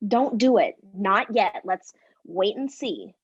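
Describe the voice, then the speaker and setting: A woman's voice: medium pitch, expressive, slow, husky. A woman playing a terse, agreeable, resigned schoolgirl in a staged play reading. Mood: resigned, cautious. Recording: dull, muffled stage recording.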